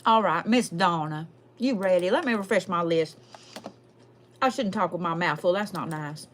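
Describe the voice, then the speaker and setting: A woman's voice: fairly low pitch, normal speed, slight background noise, lightly acted. A woman playing a sassy, foul-mouthed, folksy Southern woman with a twang, chatting casually as a livestream host. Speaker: livestream host doing readings. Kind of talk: casual livestream chat in character.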